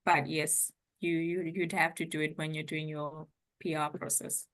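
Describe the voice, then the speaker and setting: A woman's voice: low voice, flat delivery, moderate pace, fairly bright tone. A young woman from Zimbabwe, a former international student, chatting casually and hedging her points with frequent laughs.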